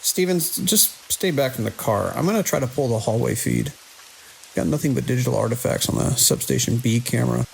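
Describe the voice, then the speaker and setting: Casting: actor as skeptical night-shift security boss, male, heavy vocal fry, lively intonation, dry authority, horror audio drama. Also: mid-range voice, fairly quick, slight background noise, low-quality recording. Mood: firm, reassuring. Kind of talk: immersive audio drama acting.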